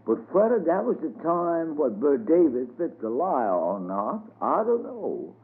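A man's voice: fairly high pitch, big pitch swings, a little slow, pitched above the performer's own voice. A bossy older woman, as a man puts her on. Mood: musing. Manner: firm, bossy dialect speech. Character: elderly woman servant, commanding and firm. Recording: tinny phone-like radio sound.

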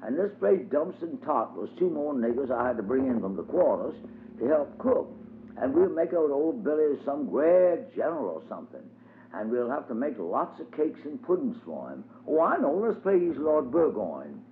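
A man's voice: medium pitch, very animated, moderate pace, voice pushed up. A man voicing a bossy little girl who gives orders to the others. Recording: tinny phone-like audio, faint hiss.

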